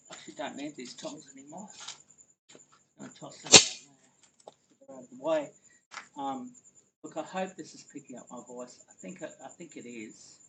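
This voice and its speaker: A man's voice: mid-range voice, gravelly, heavy background noise. A man chatting casually to his viewers while he cooks on a live stream. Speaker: cooking livestreamer. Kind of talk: casual live cooking chat.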